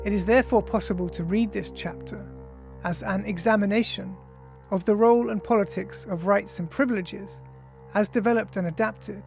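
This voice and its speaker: An author reading their own nonfiction audiobook in a measured, formal, deliberate way. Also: mid-range voice, a little mellow. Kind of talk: scripted audiobook narration of an essay.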